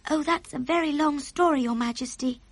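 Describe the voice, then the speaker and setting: A woman's voice: high voice, brisk, no echo, voice pushed up. A woman voicing a small girl in a storybook, with a polite, earnest, childlike manner. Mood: calm, polite.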